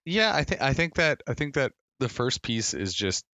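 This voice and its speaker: A man's voice: medium pitch, sing-song, normal speed, bright, forceful tone, dry recording. A man co-hosting a casual sports podcast, talking earnestly and rambling as he repeats phrases to build a point. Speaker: podcast co-host and soccer fan.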